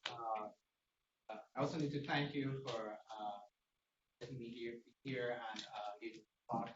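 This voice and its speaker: A man's voice: mid-range voice, steady tone, unhurried. Echoey, distant Iranian-accented male lecturer. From Iran. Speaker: researcher giving a seminar talk. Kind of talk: academic lecture. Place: indoor seminar room at a lectern. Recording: echoey room, distant mic, dull noisy feed.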